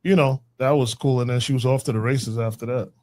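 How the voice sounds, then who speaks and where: A male podcast co-host in casual conversation, his voice sitting at an ordinary middle pitch. He talks in a relaxed, slangy way, restarting phrases as he tells a story. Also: fairly quick. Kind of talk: casual chat.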